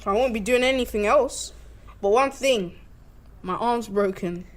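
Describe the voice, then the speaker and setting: A man's voice: very high-pitched, a little slow. A young male performer playing an upbeat, unlucky man in an amateur radio play. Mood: determined. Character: Bob, upbeat unlucky man turned comedian.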